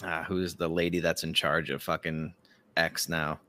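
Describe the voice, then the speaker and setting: A man's voice: extremely low pitch, level tone, unhurried, pushed and bright. A man giving casual, profane political commentary as a podcast host, ranting and mockingly acting out what others say.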